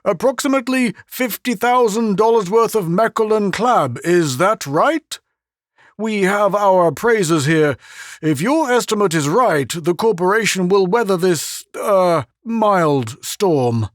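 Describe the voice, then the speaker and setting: A man's voice: sits high, animated, a little slow, no echo, studio quality, voice pushed up. A man voicing a jovial, pompous male official who chortles as he talks. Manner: chortling and hearty.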